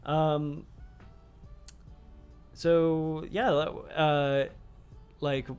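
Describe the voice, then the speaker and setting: A man's voice: a little high, little pitch movement, extremely slow, a little mellow, smooth, soft background music. A man hosting a casual podcast, rambling with lots of fillers and casual swearing. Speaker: podcast host.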